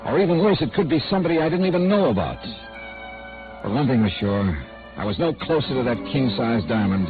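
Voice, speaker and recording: An actor playing a dry, weary, hard-boiled investigator in 1950s radio noir style, wry and terse. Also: male voice, medium pitch, animated, fairly quick, close to an everyday voice. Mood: tense, weary narration. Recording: old narrow-band radio recording with music.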